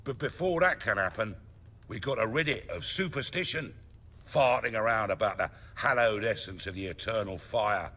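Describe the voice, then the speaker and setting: An actor playing a confident, scheming middle-aged underworld boss in a fantasy video game, speechifying in measured, colloquial English. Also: male voice, lowish voice, bright, forceful tone. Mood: scornful, fervent. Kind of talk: dramatic video game dialogue.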